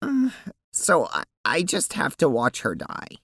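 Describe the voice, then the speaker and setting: Woman acting a wildly expressive, creaky-voiced anguished girl. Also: extremely low pitch, no room sound, clean studio sound, heavily acted. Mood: distressed, miserable. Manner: hesitant, halting, emotional. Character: anguished teenage girl turned dog-like sprite, blunt and fretful. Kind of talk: audio drama acting.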